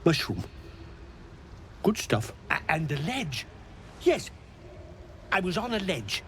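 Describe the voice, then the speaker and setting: An actor playing a confused, earnest mushroom forager in a video game, speaking in halting fragments that trail off and repeat. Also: male voice, on the high side, big pitch swings, a bit bright, a little hiss or hum, full character voice. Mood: rambling, eager.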